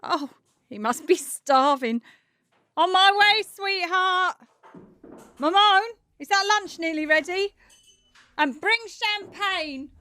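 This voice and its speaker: An actress in an audio drama playing an imperious, bawdy wartime singer who swears, orders people about and gushes endearments. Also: female voice, extremely high pitch, slow, pauses often, sharp tone, heavily acted. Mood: fond, weary. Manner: bossy, sweary, gushing endearments. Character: Edith, imperious, bawdy, theatrical female singer in wartime France.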